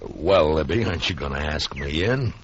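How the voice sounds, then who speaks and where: An actor in a 1950s radio western playing a calm, steady frontier marshal who talks in a low, coaxing drawl. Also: male voice, moderate pace, breathy edge, full character voice. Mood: gentle. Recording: muffled old radio recording, faint hiss.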